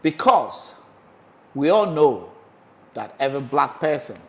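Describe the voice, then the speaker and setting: A man's voice: mid-range voice, a little slow, creaky. Man, Ghanaian accent, host, casual chat, repeats himself. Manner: animated, repeats phrases, plugs the channel.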